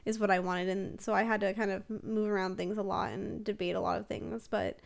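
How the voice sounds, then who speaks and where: A young woman talks loosely over her game footage in a relaxed, rambling way, giggling between thoughts and piling up fillers. Her voice sits at an ordinary middle pitch for a woman and sounds a little stuffy from allergies. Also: a little fast, vocal fry. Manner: rambling, chatty, giggles often, many fillers. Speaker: Sims builder and streamer. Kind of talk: casual voiceover over a speed build.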